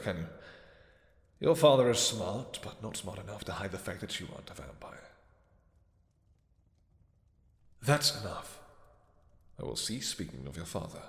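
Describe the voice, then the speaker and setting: A man playing an imperious, possessive vampire king in a romantic audio roleplay, speaking in a deep, soft, courtly voice with formal phrasing. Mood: stern, possessive. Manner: formal, courtly, commanding, hesitant pauses. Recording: echoey hall with long reverb.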